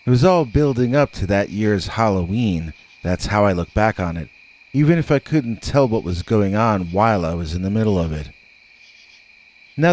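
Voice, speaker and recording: A man narrating a scripted first-person scary story in a measured, conversational storytelling manner. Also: lowish voice, a little mellow.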